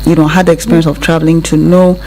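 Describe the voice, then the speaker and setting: A woman with a Nigerian accent hosting a radio discussion in a halting, measured way. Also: low-pitched, level tone, low-quality recording. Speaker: radio host.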